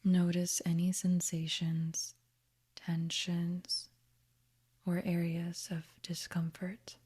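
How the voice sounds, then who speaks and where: A woman leads a guided meditation in a soft, half-whispered voice. Her tone is dark and mellow, and her pitch barely moves. She gives each gentle instruction very slowly. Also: monotone, husky. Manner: gentle, soothing, unhurried instruction.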